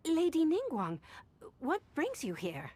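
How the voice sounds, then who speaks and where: An earnest young female secretary from a video game. Her voice is high and swings animatedly in pitch, always polite and deferential to her employer. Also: wildly swooping pitch, measured pace, a little mellow, slight background noise, exaggerated voice. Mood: polite, businesslike.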